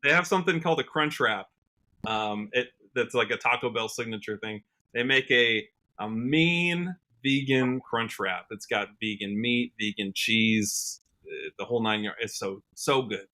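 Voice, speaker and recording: A man's voice: mid-range voice, a little slow, pushed and bright. A young American man talking casually and upbeat as a guest in an interview, peppering his talk with "like". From Washington state, United States. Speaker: podcast guest, country musician.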